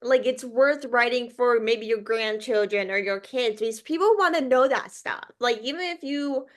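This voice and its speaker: A woman's voice: a little high, moderate pace. A young adult woman hosting a podcast interview, talking casually and ramblingly with frequent fillers like "like". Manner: casual, heavy use of "like", rambling. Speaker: podcast host.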